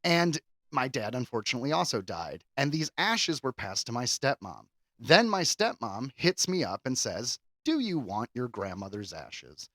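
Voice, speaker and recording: A man's voice: medium pitch, big pitch swings, moderate pace, a bit bright, no room sound. A man co-hosting a podcast in casual, jokey chat, telling things with theatrical flair. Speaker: podcast co-host.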